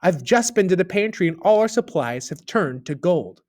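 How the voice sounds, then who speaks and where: A man voicing a humble woman cook in a storybook, meek and soft-spoken. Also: high-pitched, animated, normal speed. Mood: shocked. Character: humble female chief cook, dutiful.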